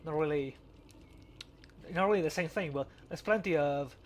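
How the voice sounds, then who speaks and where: A man co-hosting a casual chat, talking haltingly and often repeating words as he goes. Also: fairly high pitch, a little hiss or hum. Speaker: podcast co-host. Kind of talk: casual chat about video games.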